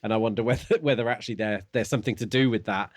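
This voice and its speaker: Low-pitched man, casual podcast co-host. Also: rapid-fire, dry recording, clean studio sound. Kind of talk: casual book discussion.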